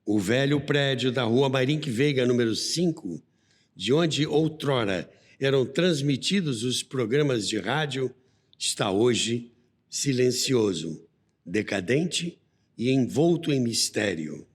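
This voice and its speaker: A man's voice: mid-range voice, animated, takes it slowly. A Brazilian man hosting and narrating a scripted history documentary in a dramatic, nostalgic storytelling manner. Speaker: podcast host and narrator. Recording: long reverb, faint music behind.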